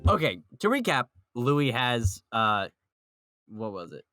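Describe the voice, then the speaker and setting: A man's voice: mid-range voice, big pitch swings, slow, bright, forceful tone, soft background music. A man chatting casually and playfully as a podcast co-host on an online voice call. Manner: playful, jokey. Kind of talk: casual chat about manga.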